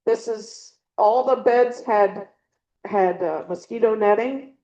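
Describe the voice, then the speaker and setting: A woman's voice: a little high, slow pace, mellow, highs cut off. A middle-aged woman giving a casual slide presentation to a club, rambling with frequent ums and restarts. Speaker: presenter at a birding club talk. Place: meeting room with a small audience. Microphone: handheld or clip mic with a dying battery.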